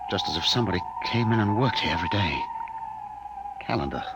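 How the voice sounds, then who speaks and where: A man's voice: fairly low pitch, expressive, fast talker, loud music behind the voice, lightly acted. An actor playing a cautious, authoritative male spaceship captain with clipped orders, in a 1950s radio drama. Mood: wary. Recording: very noisy old radio broadcast recording.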